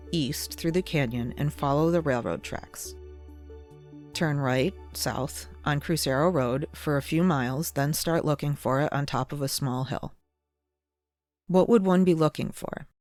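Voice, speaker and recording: A narrator reading a scripted documentary piece in a measured, explanatory way. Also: medium pitch, a little mellow. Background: ambient chill-out music.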